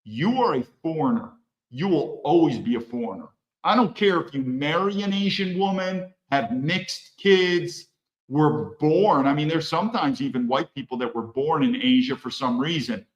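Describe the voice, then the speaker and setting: A man's voice: on the high side, expressive, moderate pace, highs cut off. Blunt man, echoey room. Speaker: podcast host. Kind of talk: opinionated solo monologue.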